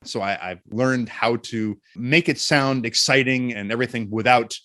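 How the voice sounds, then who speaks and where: A man speaking as an interview guest and voice actor, telling stories in an expressive, jokey, self-deprecating way. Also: mid-range voice, moderate pace, no room sound, clean studio sound. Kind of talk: animated interview storytelling.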